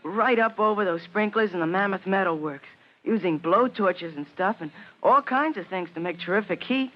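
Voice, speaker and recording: A woman's voice: medium pitch, normal speed, natural voice. A woman playing a scrappy, impatient schoolboy in an old-time radio drama, with a blunt, slangy way of talking. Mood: excited. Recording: dull old radio recording.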